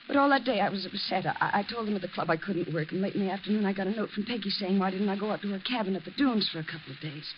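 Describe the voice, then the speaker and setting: An American actress playing a terrified, haunted young woman in a 1950s radio drama, halting and stammering breathlessly. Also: mid-range voice, expressive, lightly acted. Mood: anxious, haunted. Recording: muffled, noisy vintage radio recording.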